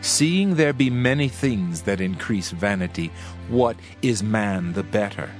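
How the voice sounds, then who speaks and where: An actor playing a solemn, reflective preacher of ancient wisdom, reading scripture in a measured, declamatory way for a dramatized audio Bible. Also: male voice, lowish voice, lively intonation. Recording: music under the voice. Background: background music.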